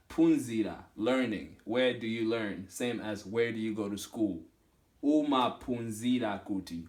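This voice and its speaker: Man teaching slowly at a whiteboard. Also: lowish voice. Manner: slow, repeats each phrase and spells out word meanings. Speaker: language teacher. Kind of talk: whiteboard language lesson. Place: small indoor room at home.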